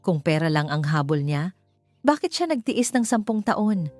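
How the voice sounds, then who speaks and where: A woman's voice: fairly low pitch, sing-song, moderate pace, a little mellow, no echo, soft background music. A woman voicing an anguished man, a betrayed son and tender, protective father, in an earnest, emotional way.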